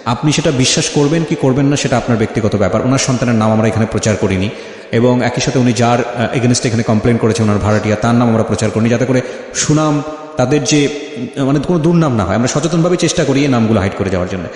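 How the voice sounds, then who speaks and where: A man's voice: mid-range voice, quick pace, a little mellow. A man hosting a life-story interview, speaking emphatically and repeating phrases for stress as he questions and addresses listeners. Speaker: show host and interviewer. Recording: very echoey, long reverb.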